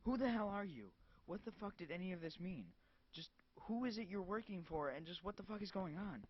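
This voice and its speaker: A man voicing a frightened, furious woman who is cornered by strangers, with a shrill, panicky delivery. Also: unhurried, slight background noise, natural voice. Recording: dull lo-fi dry recording.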